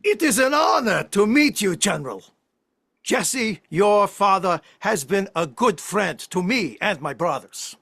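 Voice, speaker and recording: Polite, hesitant period merchant, played by a man: high husky voice, slow courteous delivery, historical play reading. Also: big pitch swings, bright, forceful tone, heavily acted. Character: polite, deferential 19th-century businessman. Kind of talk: amateur play table reading.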